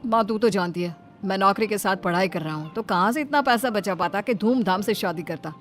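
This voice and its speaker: A woman voicing a dutiful, earnest son of thirty in an animated moral story. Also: medium pitch, fairly quick, close to an everyday voice. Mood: reassuring. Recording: music behind the voice. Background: background music.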